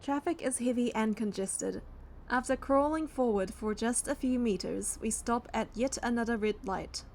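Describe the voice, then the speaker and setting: A woman's voice: fairly high pitch, normal speed, a little mellow, slight background noise. A woman reading a video game's story text aloud, with casual asides as she plays.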